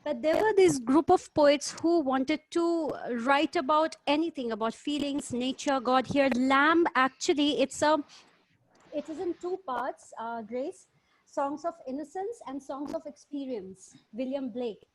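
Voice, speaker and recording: Woman, high voice, dry sound, teacherly, informal group discussion, checks with listeners. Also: unhurried, dry recording, rough recording. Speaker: discussion participant.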